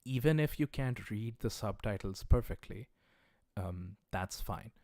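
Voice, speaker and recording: Male teacher, lowish voice, relaxed conversational delivery, frequent fillers, encouraging, dry close sound. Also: a little slow, dry recording. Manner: encouraging, recommends resources directly to viewers. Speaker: language teacher. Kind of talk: casual livestreamed lesson.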